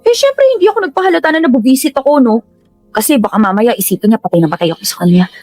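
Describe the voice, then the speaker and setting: A woman's voice: on the high side, animated, racing, darkish tone, dry recording, faint music behind. A woman playing a dramatic, exasperated young adult daughter with a Filipino accent, emphatic and slangy, in a radio drama.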